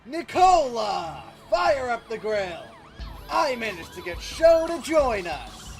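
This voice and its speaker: Actor as breezy young groom, high voice, very animated, exuberant buddy, audio drama. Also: male voice, slow, soft background music, heavily acted. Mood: excited. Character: upbeat, easygoing young groom, loud best buddy.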